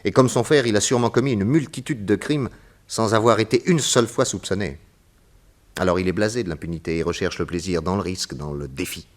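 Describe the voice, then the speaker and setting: Man as radio-drama detective, middle-aged, very low voice, measured, grave, shrewd. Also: natural voice. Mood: explaining. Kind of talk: 1940s radio acting.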